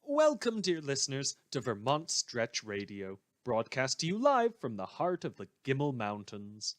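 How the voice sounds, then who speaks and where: A man's voice: mid-range voice, wildly swooping pitch, a little slow, no echo. A man playing a sardonic radio host in a superhero world, delivering scripted satirical news with dramatic, sarcastic emphasis. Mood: wry.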